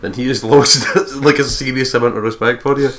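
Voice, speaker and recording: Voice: medium pitch, steady tone, brisk, fairly bright tone. A let's play co-host chatting casually about a game in loose banter. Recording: game music behind the voice. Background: visual novel game music.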